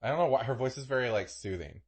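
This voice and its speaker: A man co-hosting a podcast in casual chat, playful and joking, with an expressive way of talking. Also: mid-range voice, fast. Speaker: podcast co-host.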